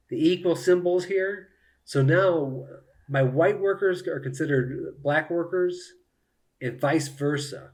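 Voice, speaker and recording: A man giving casual live commentary on a solo board game, thinking aloud and explaining each move to viewers. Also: on the high side.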